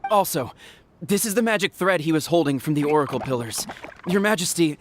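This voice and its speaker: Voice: medium pitch, animated, a little fast, a little hiss or hum, lightly acted. An actor voicing a loyal palace guard who reports urgently and defers to the king. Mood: flustered.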